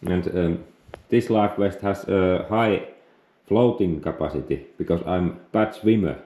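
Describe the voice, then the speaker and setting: A man from Finland speaking English with a Finnish accent, non-native and halting, explaining outdoor gear in a casual vlog. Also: sits low, dark tone, echoey room. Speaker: outdoors vlogger. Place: outdoors on a frozen lake, later indoors.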